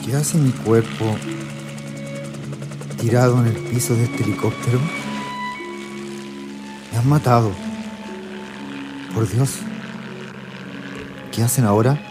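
A man's voice: mid-range voice, steady tone, slow, long pauses, music in the background, natural voice. A man with a Chilean accent playing an anguished young political prisoner in a radio drama. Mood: anguished, haunted. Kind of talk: radio drama monologue and acting.

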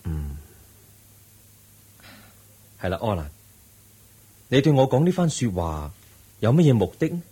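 The serene, wise Buddha. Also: male voice, medium pitch, very animated, a little slow, pauses often, slightly rough, full character voice. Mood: serene, patient. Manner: gentle, patient, questioning like a teacher. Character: serene wise Buddha, calm male teacher. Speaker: Buddha in a radio play. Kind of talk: 1980s-style radio drama acting.

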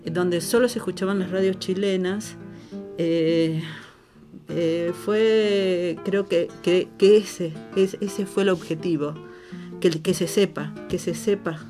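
An older woman from Buenos Aires talks in an interview with the local Argentine accent. Her voice sits a little low, with clear music behind it, and she tells things colloquially and with emphasis. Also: steady tone, slow. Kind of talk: conversational oral-history interview.

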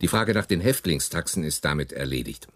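An actor playing a smug, scheming wartime SS camp official with a husky, rough voice in a German radio play. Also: male voice, rumbling low, animated, brisk, a bit bright, exaggerated voice. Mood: smug, businesslike.

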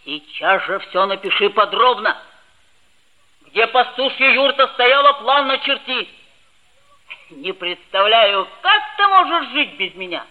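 An actress playing a bossy, hot-headed but loyal schoolboy of about thirteen, commanding and emphatic, in a 1960s Soviet radio play. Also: female voice, on the high side, sing-song, measured pace. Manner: commanding, self-assured, emphatic. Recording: dull old radio recording with music.

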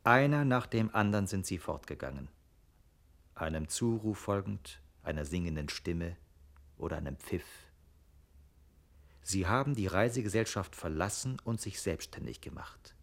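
A man's voice: deep voice, animated, moderate pace. A man narrating a radio play as a storyteller, reflective and conversational in manner.

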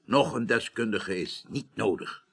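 A man's voice: lowish voice, unhurried, creaky, fairly bright tone, slightly rough, exaggerated voice. An actor playing a self-assured older court president in a Dutch radio drama, formal and pompous as he lectures. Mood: lecturing.

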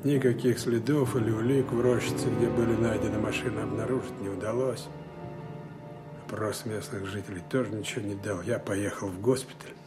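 Man acting wartime investigator, lowish voice, factual radio-play narration, loud music underneath. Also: steady tone, a little fast, lightly acted. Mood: businesslike. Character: matter-of-fact male wartime investigator reporting his search. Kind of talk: dramatic first-person narration, radio play.

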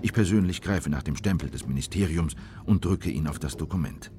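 An actor playing a grave, watchful South American government official, giving a measured, sober account in a radio play. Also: male voice, very deep voice, dark tone. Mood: resolute. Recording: clear background noise, ambience.

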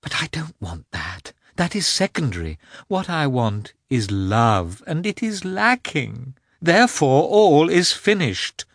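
A man's voice: on the low side, huge pitch swings, moderate pace, few pauses, bright, forceful tone, breathy edge, dry recording, studio quality, heavily acted. A man voicing a proud, jealous noblewoman in an emotional, accusing manner, as a dramatic audiobook reading. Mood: despairing.

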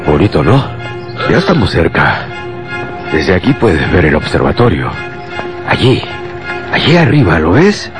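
A man's voice: low-pitched, very animated, measured pace, long pauses. An actor playing a manipulative, falsely fatherly man in a radio drama, coaxing and secretive as he instructs. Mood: coaxing, instructing. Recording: loud music bed under the voice.

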